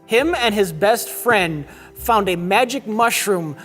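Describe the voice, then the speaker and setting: A hoarse man giving a rambling, incredulous comedic recap of a plot as a panelist. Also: high-pitched, expressive, slow pace, fairly bright tone, soft background music.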